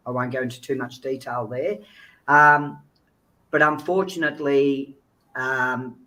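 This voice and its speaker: A man's voice: medium pitch, fairly bright tone, low-quality recording. A man giving informal, earnest, explanatory answers as an interview guest on a video call. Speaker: guest speaker, child recovery agency founder.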